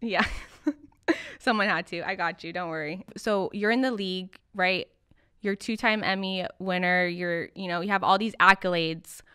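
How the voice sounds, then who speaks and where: Female interviewer, crisp and bright, casual, chatty, encouraging podcast host. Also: lowish voice, moderate pace, dry recording, studio quality.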